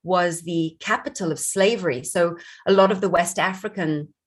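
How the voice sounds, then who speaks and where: A woman's voice: lowish voice, moderate pace, husky. A woman from Barbados, a film director, speaking earnestly and reflectively as an interview guest over a video call. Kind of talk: interview conversation.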